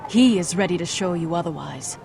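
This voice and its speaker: A woman voice acting a guarded, earnest young noblewoman in a fantasy game drama, speaking in grave, measured, formal phrases. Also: lowish voice, creaky, a little mellow, slight background noise. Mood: urgent, grave.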